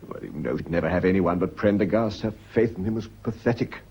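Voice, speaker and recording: An actor playing a defensive, agitated husband accused of murder, urgent and exasperated, in an old-fashioned British radio drama style. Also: male voice, low voice, quick pace, dark tone, husky. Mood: defensive, weary. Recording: dull, lo-fi radio recording.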